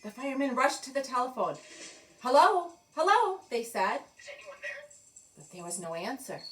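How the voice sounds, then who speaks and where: A woman reading a children's picture book aloud in an expressive, deliberate storytelling manner with dramatic pauses. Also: fairly high pitch, recorded from a distance, a little hiss or hum, poor audio. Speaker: storybook reader.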